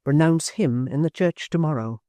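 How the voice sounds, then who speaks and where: A man voicing a proud, bitter young woman in a storybook reading, speaking low and steady. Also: moderate pace, no room sound, natural voice. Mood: cold. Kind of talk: audiobook character voice.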